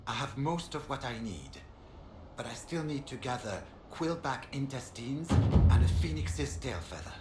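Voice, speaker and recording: An actor playing a courteous, eager scholar and researcher in a fantasy game. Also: male voice, mid-range voice, expressive, measured pace, lightly acted. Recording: echoey, with music behind.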